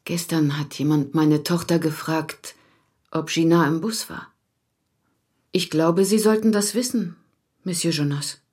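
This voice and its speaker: Very low female voice, husky, concerned small-town neighbour, direct, radio drama. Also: fairly even intonation, unhurried, long pauses, a little mellow. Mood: pointed, concerned. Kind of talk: German radio play dialogue.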